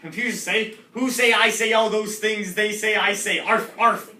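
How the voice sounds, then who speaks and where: A man's voice: high-pitched, fairly even intonation, moderate pace, pushed and bright, echoey room, recorded from a distance, pitched above the performer's own voice. A man voicing a gushy, silly teenage girl with an exaggerated, mocking delivery in a comedy reading.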